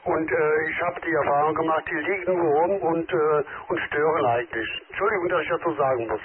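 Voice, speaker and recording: A man's voice: on the high side, a little fast, background noise. A man chatting on an amateur radio contact, polite and hesitant, filling his sentences with many filler sounds. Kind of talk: casual radio contact chat. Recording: tinny radio transmission with crowd behind.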